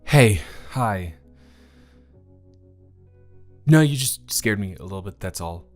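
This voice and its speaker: A young man playing a new classmate in a romance audio drama, speaking to the listener in a halting, flustered way, overwhelmed and stumbling over his words. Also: lowish voice, animated, faint music behind. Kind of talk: one-sided audio roleplay to the listener.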